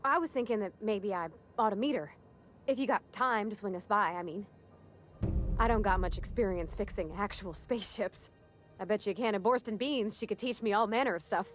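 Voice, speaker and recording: A woman's voice: mid-range voice, brisk, faint music behind, close to an everyday voice. A woman playing an eager, folksy young spaceship mechanic in a video game, talking in an earnest, rambling way. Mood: hopeful, friendly. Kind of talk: video game character acting.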